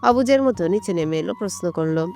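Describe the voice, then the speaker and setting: A woman's voice: fairly low pitch, lively intonation, a little fast, a little mellow, faint music behind. A woman reading a scripted romantic story as its narrator.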